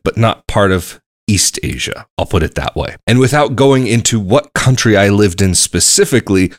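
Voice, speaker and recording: A man's voice: fairly low pitch, no echo, studio quality. A young adult man hosting a travel podcast, talking alone in a rambling, conversational way and thinking aloud as he goes. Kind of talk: casual solo monologue.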